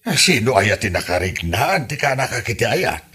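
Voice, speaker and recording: A man playing a sensible elder brother with an Ilocano accent in a comedy drama, speaking in an animated, colloquial way. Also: fairly low pitch, brisk, slightly rough, full character voice. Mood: doubtful, advising. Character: sensible, protective elder brother in village family.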